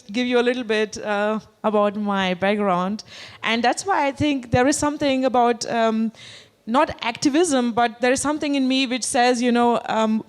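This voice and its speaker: A woman's voice: fairly high pitch, smooth. A woman with an Indian accent giving a conference talk to an audience, hesitant, with many fillers and questions to the room. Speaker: conference speaker. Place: conference hall.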